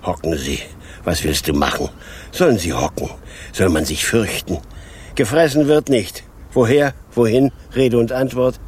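A man's voice: mid-range voice, measured pace, a little mellow, breathy edge. An actor playing a boastful, grumpy, sly devil in a theatrical radio play, switching between threats and folksy wit. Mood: gruff, wry. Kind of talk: German radio play acting.

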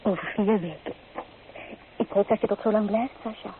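Lo-fi old radio play with faint noise, woman acting an earnest young bride-to-be, mid-pitched, dreamy, wistful. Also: steady tone, normal speed, natural voice. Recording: muffled, noisy old radio recording.

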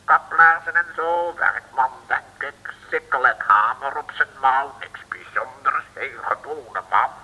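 An actor playing a silly, fussy naval officer, nonchalant and whiny, in a comic old radio serial. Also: male voice, mid-range voice, measured pace, hardly any pauses, pushed and bright, slightly rough, exaggerated voice. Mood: breezy, whiny. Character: silly, fussy male naval desk officer, breezily unhelpful. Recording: old radio recording, long reverb.

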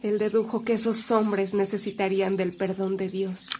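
A woman's voice: medium pitch, level tone, normal speed, a little mellow, slight background noise, close to an everyday voice. An actress with a Mexican accent playing a devout, anxious woman in a radio drama, speaking in an earnest, emotive way. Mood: remorseful, admiring. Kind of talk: Spanish-language radio drama acting.

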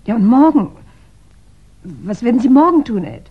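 A woman's voice: on the high side, big pitch swings, moderate pace, slightly rough, exaggerated voice. An actress playing a devoted, anxious younger woman in a 1960s German radio crime play, earnest and hesitant. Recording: dull 1960s radio recording.

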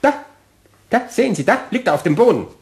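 A man's voice: high voice, very animated, fairly quick. An actor playing an irascible, pompous, easily flustered male office boss and husband in a German radio play. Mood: pompous, irritated. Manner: pompous, fussy phrasing, blustering.

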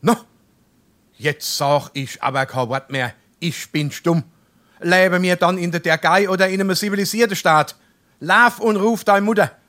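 A middle-aged head of a household with a rather high voice and broad Darmstadt dialect. He is indignant and full of bluster, exclaiming his way through a stage comedy. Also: unhurried, a bit bright. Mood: agitated outrage. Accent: Hessian, Darmstadt dialect.

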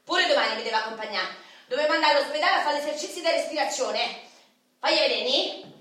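An actress playing a working-class Roman wife of a petty crook, streetwise and theatrical, with a Roman accent, in a radio drama. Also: female voice, high voice, expressive, fast talker, bright, forceful tone. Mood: pleading, insistent. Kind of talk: stage-style radio drama acting. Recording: distant, very echoey hall with faint noise.

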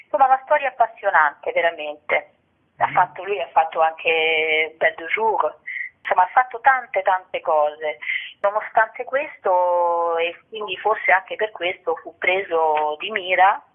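A woman's voice: fairly low pitch, lively intonation, measured pace. A woman, an actress and playwright, explaining her work reflectively in an interview, searching for words and adding little tag questions. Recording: tinny phone line on radio.

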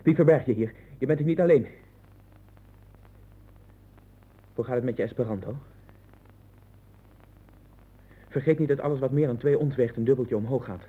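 An actor as a husky, haunted, obsessive man. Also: mid-range voice, rapid-fire, natural voice. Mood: brooding, suspicious. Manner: erratic, swinging from hushed brooding to loud agitation. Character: Len, troubled man sinking into obsessive hallucinations of dwarfs. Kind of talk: 1960s radio play acting. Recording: muffled narrowband old radio recording.

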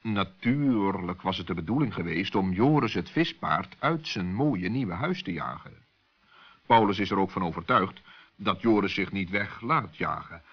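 A man narrating a children's story as an expressive storyteller. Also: deep voice, normal speed, husky. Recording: dull, lo-fi old recording.

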